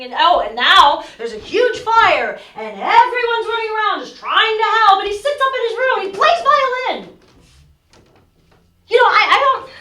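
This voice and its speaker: A woman acting a weary, despairing young woman of twenty-three in a stage play, speaking in halting, stammering bursts. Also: high-pitched, fairly bright tone. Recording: roomy stage sound from far off.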